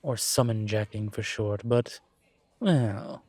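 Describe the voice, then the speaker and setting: Low, measured, courteous demon investigator played by a man. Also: a little mellow. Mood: explanatory. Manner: measured, considerate, hesitant pauses. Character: calm, courteous male incubus demon professor and investigator. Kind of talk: scripted audio roleplay, intimate one-sided conversation.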